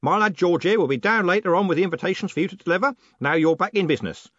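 Booming, blustering old merchant, high and loud, all bluster and backslaps, as a male reader puts him on. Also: expressive, racing, no room sound, muffled recording. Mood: booming hearty. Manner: bellowing, booming.

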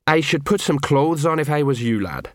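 A man's voice: fairly high pitch, expressive, moderate pace, dry recording, studio quality, voice pushed up, close to an everyday voice. A man voicing a worried, blunt older sergeant of the city watch.

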